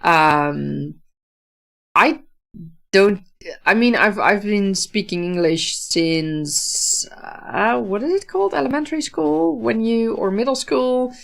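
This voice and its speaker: Slow-speaking Dutch woman. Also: mid-range voice, lively intonation, creaky. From Netherlands. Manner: slow, thoughtful, many fillers and restarts. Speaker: livestream host, author. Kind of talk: casual livestream chat reading viewer comments.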